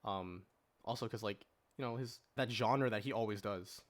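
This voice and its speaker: A man's voice: fairly low pitch, normal speed. A man in a casual podcast debate, arguing his points at length with rhetorical questions and plenty of "like". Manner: argues at length with rhetorical questions, frequent filler "like". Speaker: podcast panelist.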